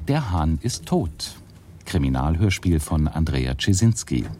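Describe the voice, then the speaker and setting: A man's voice: very deep voice, very animated, unhurried, darkish tone, a little hiss or hum. A man announcing the title and credits of a radio drama in a scripted style.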